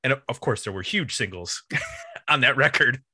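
A man's voice: mid-range voice, animated, moderate pace, pushed and bright, breathy edge, no room sound. A man co-hosting a casual music discussion, rambling and peppering his sentences with "like". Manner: rambling, uses 'like' constantly. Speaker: podcast co-host.

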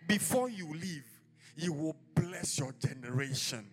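A man's voice: fairly high pitch, animated, unhurried, soft background music. A man with a Ghanaian accent, an apostle preaching a sermon in a church service, declaiming in rising bursts and dropping into casual asides.

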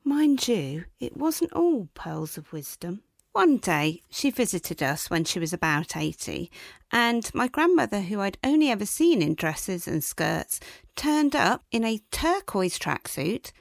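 A woman's voice: mid-range voice, vocal fry, no echo, clean studio sound. A middle-aged woman hosting a podcast, telling a wry, self-deprecating comic story about family before moving into an interview. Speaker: podcast host. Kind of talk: storytelling monologue then interview.

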